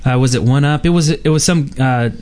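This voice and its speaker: A man's voice: a little high, brisk, darkish tone. Rambling man hosting a gaming podcast. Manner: rambling, many fillers and restarts. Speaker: podcast host. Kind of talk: casual gaming podcast chat.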